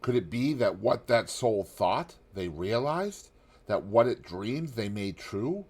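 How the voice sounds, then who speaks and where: A man reading a classic novel aloud in measured narration, dropping low and soft on grave lines. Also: animated. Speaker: audiobook narrator.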